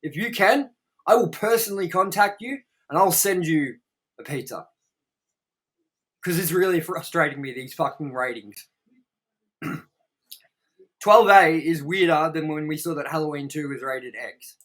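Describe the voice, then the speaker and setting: A man's voice: a little high, a little slow, long pauses, a bit bright. A man hosting a casual movie review chat, exasperated and prone to swearing. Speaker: host of a movie review series.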